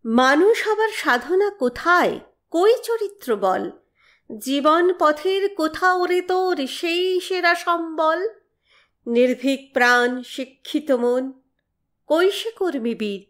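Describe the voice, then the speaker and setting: A woman reciting poetry in a dramatic, exhorting style with measured phrasing. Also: high-pitched.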